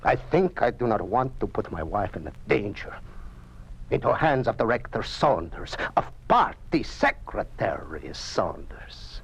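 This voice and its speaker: Anxious immigrant scientist in an old radio play. He pleads in broken English with a husky voice and pitch that swings wide. An old broadcast recording. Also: sing-song, measured pace, exaggerated voice. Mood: bitter. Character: anxious immigrant scientist Mazzini, middle-aged man. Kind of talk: 1950s radio drama acting.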